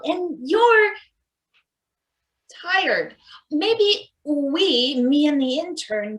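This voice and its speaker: A woman's voice: high-pitched, fairly bright tone, full character voice, low-quality recording. A woman playing an earnest, hesitant maintenance worker in a table read of a satirical play.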